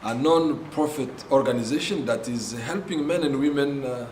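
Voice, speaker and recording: A man from Congo with a Congolese accent, telling his ministry's story in a halting way with many pauses and fillers. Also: mid-range voice, darkish tone, echoey room, slight background noise. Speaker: ministry founder. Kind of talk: earnest spoken testimony.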